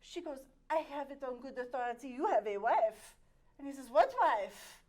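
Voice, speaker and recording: A woman's voice: high voice, animated, moderate pace, a little mellow. A woman playing a vain aristocratic lady with a French accent, telling a dramatic story with many fillers. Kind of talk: improvised comedy scene.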